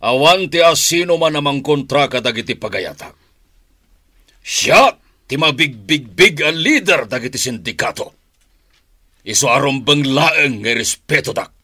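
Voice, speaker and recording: A ruthless syndicate boss in a radio drama, speaks with an Ilocano accent and a domineering, boastful swagger. His pitch swings widely over a medium register, emphatic and theatrical, in a dry studio sound. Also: lively intonation, unhurried, bright, forceful tone, no room sound. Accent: Northern Philippine (Ilocano).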